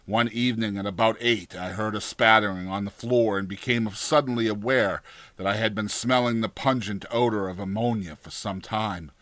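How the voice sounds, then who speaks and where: Low, forceful male horror narrator. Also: steady tone, normal speed, no room sound. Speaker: audiobook narrator. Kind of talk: dramatized horror story narration.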